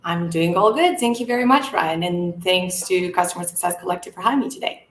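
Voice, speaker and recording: A woman from Serbia presents a business session in a measured, earnest way, often pausing on "uh" and "um". Her voice sits a little low. The room around her sounds echoey. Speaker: conference speaker.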